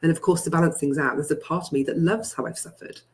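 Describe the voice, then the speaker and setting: A guide leads a healing meditation in a mid-pitched voice. The phrasing is deliberate and repetitive, built from first-person affirmations. Key statements are repeated with emphasis. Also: fairly even intonation. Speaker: guided healing session leader.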